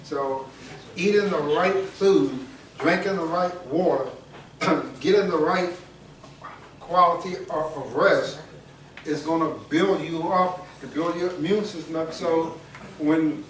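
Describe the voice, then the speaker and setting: A man's voice: on the high side, slow pace, fairly bright tone, a little hiss or hum. An older man giving an informal, joking health talk to a group, folksy and prone to repeating himself. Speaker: wellness speaker. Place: indoor room with an audience. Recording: roomy, from a distance, with a crowd.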